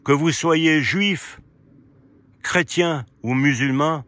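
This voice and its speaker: A man giving a rambling, argumentative opinion monologue, speaking directly to his listeners in an indignant way and halting to search for words. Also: fairly high pitch, a bit bright. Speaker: amateur video commentator. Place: quiet spot outdoors.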